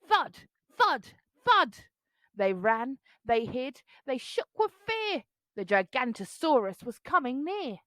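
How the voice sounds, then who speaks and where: A woman reads a children's story aloud with theatrical, rhythmic phrasing. Her voice is fairly high and very animated, and she takes her time over the words. The sound is dry and close. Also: slow, no echo. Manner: dramatic, rhythmic, singsong. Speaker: children's book reader. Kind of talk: expressive storybook read-aloud.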